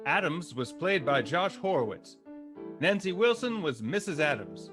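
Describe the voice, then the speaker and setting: A man's voice: on the high side, big pitch swings, moderate pace. A man announcing cast credits in a scripted radio style. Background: music.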